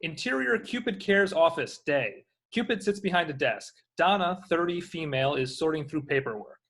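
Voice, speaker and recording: A man's voice: a little high, expressive, normal speed, fairly bright tone. A man reading screen directions as scripted narration.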